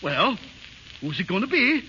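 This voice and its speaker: Flustered, high-voiced old dwarf leader. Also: male voice, very animated, measured pace, pushed and bright, slight background noise, full character voice. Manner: stammering, tangles words into spoonerisms. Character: flustered, bossy old dwarf leader who muddles words. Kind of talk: 1930s radio acting. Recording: dull vintage 1938 radio broadcast.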